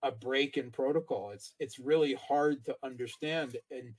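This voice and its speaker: A man's voice: mid-range voice, a little slow. A man speaking as a political analyst in conversational commentary, emphatic and inclined to repeat his points.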